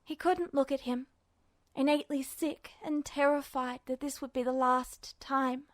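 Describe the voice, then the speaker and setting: A woman's voice: high-pitched, fairly even intonation, a little slow, no echo, studio quality. A woman reading fiction aloud in a dramatic audiobook narration style.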